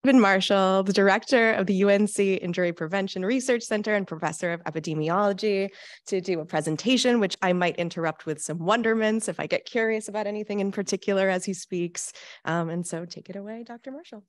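Brisk woman moderator introducing speaker. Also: mid-range voice, no echo.

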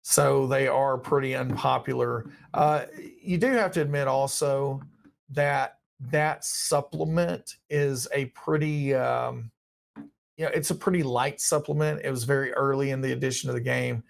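A man's voice: mid-range voice, fairly even intonation, a little slow, vocal fry. A man in his thirties hosting a live viewer question stream, his voice partly worn out, reading questions and answering in a rambling, offhand way. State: partly lost voice. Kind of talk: casual live Q&A. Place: small dim room indoors.

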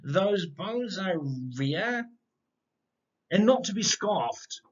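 A man's voice: high voice, sing-song, drawn-out words, lo-fi sound, voice pushed up, exaggerated voice. A man voicing an insistent, scholarly professor who protests and haggles in a children's storybook. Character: smiling, scholarly professor haggling over rare bones. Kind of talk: children's storybook read-aloud.

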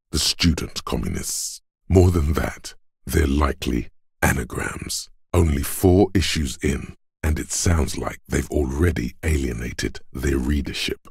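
Very deep, gravelly voice of logic. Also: male voice, darkish tone, dry recording, clean studio sound, full character voice. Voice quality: gravelly, husky. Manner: measured, deliberate, dryly condescending. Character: inner voice of cold, dry deductive logic. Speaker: voice actor. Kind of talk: video game voice-over, scripted narration. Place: dry studio recording.

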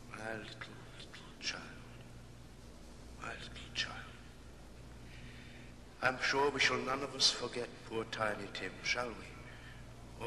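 Echoey, grieving gentle father played by a man. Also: mid-range voice, lightly acted. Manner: tender, sorrowful. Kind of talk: old radio drama acting. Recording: echoey, noisy old record.

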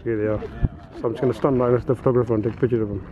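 A man's voice: medium pitch, fairly even intonation, racing. A man, vlogging outdoors and chatting playfully with strangers into a handheld camera. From England. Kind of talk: casual vlog chat. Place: outdoors on a hillside lookout. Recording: dull sound with loud wind noise.

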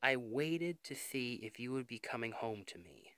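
A man playing a worried, devoted young boyfriend in an intimate ASMR roleplay, speaking in an earnest, emotional, halting way. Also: mid-range voice, lively intonation, sharp tone, no room sound, studio quality. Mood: anguished, worried.

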